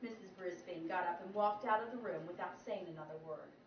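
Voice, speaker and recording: A woman teacher reading a children's chapter book aloud, animated, with her pitch jumping for the characters. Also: a little fast. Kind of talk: storybook read-aloud. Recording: roomy, distant, dull sound with faint noise.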